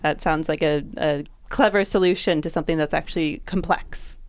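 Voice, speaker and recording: A woman hosting a podcast, asking interview questions in a polite, measured way. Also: low voice, steady tone, no echo, studio quality. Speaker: podcast host.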